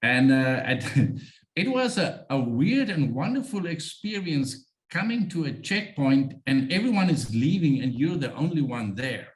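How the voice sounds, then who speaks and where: Earnest South African man, halting speech. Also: medium pitch, very animated, poor audio. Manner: earnest and heartfelt, halting restarts. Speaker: guest, sled dog musher. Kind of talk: conversational interview.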